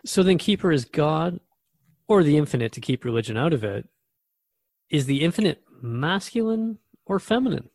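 A man with a slightly high voice plays the questioning host in a radio drama. He reasons things through aloud in an inquisitive way, recorded close and dry. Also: animated, normal speed, darkish tone, no echo.

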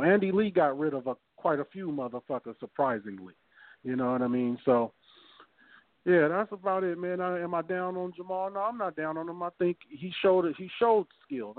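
Man, 30s, podcast co-host, casual rambling chat, slightly raised pitch, tinny phone-quality audio. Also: dry recording. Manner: loose, rambling, swears freely, restarts often. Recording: tinny phone-line sound.

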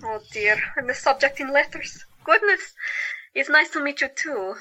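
A woman's voice: high-pitched, normal speed, rough recording. A woman playing a joyous, playfully theatrical and easily flustered doctor in a 1917 audio drama. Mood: cheerful, modest. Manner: animated, exclaiming.

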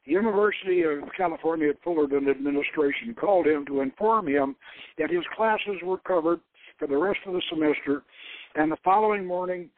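Phone-like male narrator, slightly high voice. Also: no echo. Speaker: host reading his own novel. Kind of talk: dramatic audiobook-style narration. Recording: narrow phone-like line.

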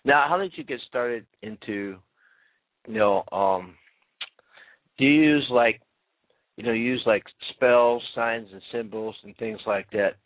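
A man hosting a radio interview, asking questions in a halting way that repeats itself. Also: medium pitch, dry recording. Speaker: radio show host. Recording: dry phone-line audio.

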